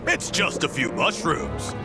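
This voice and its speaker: A man's voice: a little high, huge pitch swings, unhurried, heavily acted. A man voicing a bold, carefree fighter in a video game. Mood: confident. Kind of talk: video game character acting. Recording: loud game music and crowd noise.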